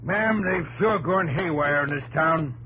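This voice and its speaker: Fiery, high-pitched American frontier publisher. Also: male voice, measured pace, bright, forceful tone. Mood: indignant. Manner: blustery, emphatic, folksy frontier talk. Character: fiery frontier newspaper publisher, hard-nosed vigilante leader. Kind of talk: 1950s radio drama acting. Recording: noisy old radio broadcast with hum.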